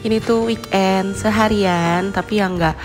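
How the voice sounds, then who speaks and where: A young woman with a stuffy nose and a hoarse voice from a cold, chatting casually in a home vlog voice-over. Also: mid-range voice, fairly even intonation, unhurried. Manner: chatty, friendly, with little laughs and fillers. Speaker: vlogger, homemaker. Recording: voice-over with clear background music.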